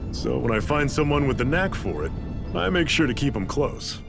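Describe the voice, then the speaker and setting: Male resistance leader, game drama. Steady, folksy, plain-spoken, mid register, music behind. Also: medium pitch, normal speed, gravelly. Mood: candid. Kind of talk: dramatic video game voice acting.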